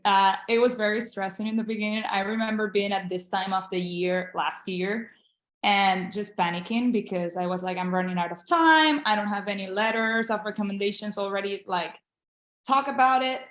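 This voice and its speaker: A woman's voice: medium pitch, a bit bright, echoey room. A young woman from Venezuela, a Spanish speaker, giving a casual interview as a guest, speaking earnestly and encouragingly over a video call. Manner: earnest, encouraging, with frequent restarts. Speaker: guest, international medical graduate.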